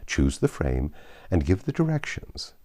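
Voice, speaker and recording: Male audiobook narrator for literary classics: low-pitched, dark-toned, highly animated delivery. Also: a little slow. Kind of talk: expressive scripted literary narration.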